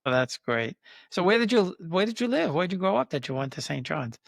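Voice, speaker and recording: A man's voice: sits high, very animated, brisk, vocal fry, no echo, studio quality. An older man from Queens with a New York accent, hosting a friendly, chatty interview. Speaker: interviewer host. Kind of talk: casual conversational interview.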